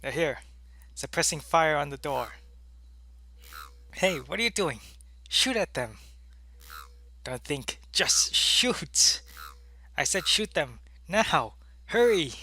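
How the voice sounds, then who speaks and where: A man playing a devoted alien soldier in an ASMR audio roleplay, with a gravelly, earnest and deliberate delivery. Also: on the high side, big pitch swings, sharp tone, no room sound, full character voice. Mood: urgent shouting. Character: devoted alien soldier, gruff in battle, tender with his lover.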